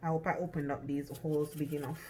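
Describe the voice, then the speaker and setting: A woman's voice: deep voice, little pitch movement, fast talker, heavy background noise. A woman with a Jamaican accent chatting casually through a cooking demonstration in her home kitchen, thinking aloud with lots of ums and restarts. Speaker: cooking vlogger.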